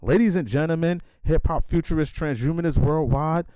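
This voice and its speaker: Podcast host, male, hesitant halting questions, dark mellow voice, dry sound. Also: fairly high pitch, no room sound. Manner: hesitant, many fillers and restarts in questions; emphatic hype intro. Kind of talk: casual interview chat.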